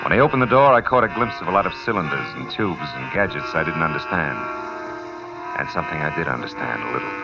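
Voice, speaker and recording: An actor playing a tough, wry private detective who narrates in clipped 1950s radio noir style. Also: male voice, rumbling low, animated, fast. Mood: brooding, wry. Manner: hard-boiled, wry, clipped. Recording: muffled vintage radio with music.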